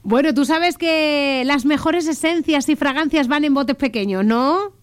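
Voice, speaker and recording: Una mujer manchega con acento de La presenta un programa matinal de radio. Su voz es alta y clara. Bromea sin parar con quien llama. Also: female voice, high-pitched, measured pace. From La Mancha, Spain. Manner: chatty, teasing, laughs often. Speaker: radio host. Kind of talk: morning radio birthday calls.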